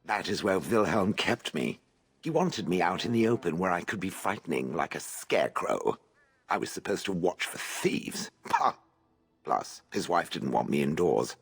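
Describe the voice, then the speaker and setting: An ancient stone golem from a video game, with a low, raspy voice that rises and falls expressively. It sounds grumpy and haughty, full of dry scorn for soft humans. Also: male voice, lively intonation, normal speed, heavily acted. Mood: bitter, indignant. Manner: sardonic, haughty, blunt, dry. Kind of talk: video game dialogue acting.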